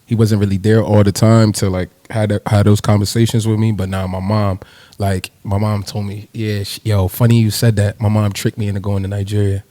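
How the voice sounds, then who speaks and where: Laid-back young rapper guest, dark low voice, dry close studio sound, slangy and profane. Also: male voice, flat delivery, brisk, no echo. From New Jersey, USA. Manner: laid-back slangy, swears freely, laughs. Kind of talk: casual interview chat.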